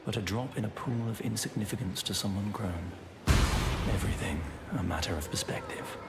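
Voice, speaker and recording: A man's voice: on the low side, a little fast, heavy background noise, close to an everyday voice. An actor playing a troubled, driven man searching for answers in a fantasy game, speaking formally and intensely. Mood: curious, resolute. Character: troubled, driven man seeking answers about his lost family. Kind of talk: fantasy video game voice acting. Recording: loud music behind the voice.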